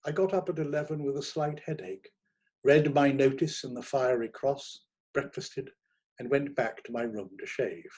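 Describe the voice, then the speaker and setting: A man narrating a first-person short story in a theatrical, expressive manner, savouring his words. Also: mid-range voice, normal speed, breathy edge. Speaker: audiobook narrator.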